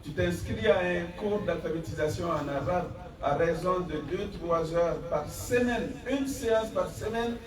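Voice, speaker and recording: A man delivers a Friday sermon as imam, pressing his listeners with rhetorical questions and reciting Arabic verses. His voice sits fairly high and comes from some distance. It echoes through a large room with a gathered congregation audible behind him. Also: a little slow, few pauses, crowd noise. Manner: exhorting, rhetorical questions, rising emphasis. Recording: echoey hall from far off.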